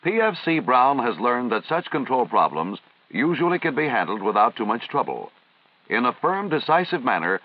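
American guy narrating an old 50s army training film, formal but lots of inflection, muffled old soundtrack. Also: mid-range voice. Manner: formal, measured, instructional. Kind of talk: scripted narration, 1950s military training film. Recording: dull, band-limited vintage film soundtrack.

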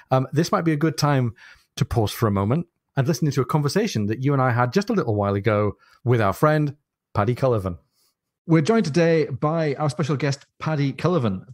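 A man co-hosting a podcast, explaining and introducing a guest in a measured way. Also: mid-range voice. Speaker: podcast co-host. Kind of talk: casual podcast discussion.

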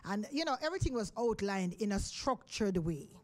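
A middle-aged Jamaican woman hosts a live radio show from the studio. She talks into a studio microphone in a loose, chatty way, with plenty of ums and "of course". Her voice sits at an ordinary pitch for a woman. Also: big pitch swings, moderate pace. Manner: chatty, rambling with fillers. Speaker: radio host.

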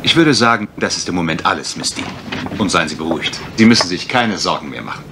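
Smooth confident detective guy, lowish lively voice, radio play, noisy recording. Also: fast talker. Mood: breezy, reassuring. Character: suave, self-assured male private detective. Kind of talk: German radio crime drama.

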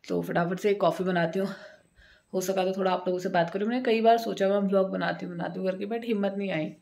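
A woman's voice: medium pitch, steady tone, quick pace, vocal fry, darkish tone. A woman in her thirties vlogging casually from her home while unwell, with a sore throat and a tired, chatty way of talking to viewers, recorded on a handheld phone. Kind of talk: casual vlog chat to camera. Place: small home kitchen and rooms, indoors. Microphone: phone held at arm's length.

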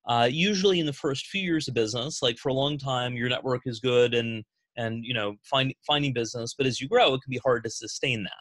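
A man hosting a business Q&A on a video call, speaking in a measured way with frequent fillers. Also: mid-range voice, a bit bright, no room sound. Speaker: host of agency Q&A.

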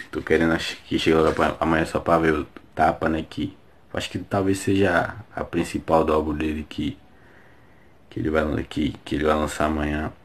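Very deep-voiced young man, slangy. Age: young adult. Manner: relaxed slangy chatter, laughs along. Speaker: reaction video host. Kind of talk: casual reaction commentary. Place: small indoor room with plain wall. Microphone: webcam in front of face.

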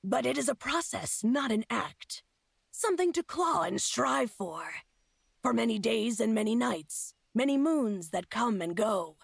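Husky, creaky feral huntress. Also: female voice, a little high, animated, dry recording, heavily acted. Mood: solemn, proud. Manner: slow, cryptic, solemn speech. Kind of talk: fantasy game character acting.

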